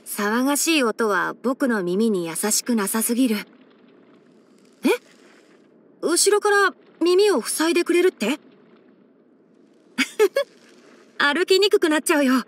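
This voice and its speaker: Game role: clever, gentle young male fox-eared ranger, high boyish voice, measured and softly wry. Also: exaggerated voice. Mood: friendly, confiding. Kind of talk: Japanese game character voice-over.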